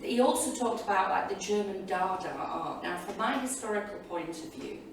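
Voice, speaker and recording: A woman's voice: mid-range voice, moderate pace, creaky. A woman teacher giving informal, enthusiastic and rambling reflections to an audience as a panelist. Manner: chatty, enthusiastic, digressive. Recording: echoey hall, distant mic, loud room noise.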